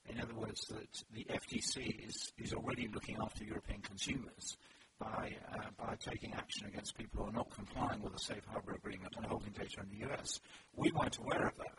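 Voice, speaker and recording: A British man answering interview questions as a lawmaker, hesitant, with many restarts and fillers. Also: fairly low pitch. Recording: loud background noise.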